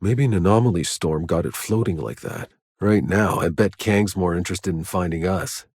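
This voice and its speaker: A man playing a gruff, wry, battle-worn soldier in a sci-fi video game. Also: low-pitched, lively intonation, moderate pace, a little mellow, no room sound, studio quality, heavily acted. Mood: sardonic, casual. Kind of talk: video game voice lines, dramatic acting.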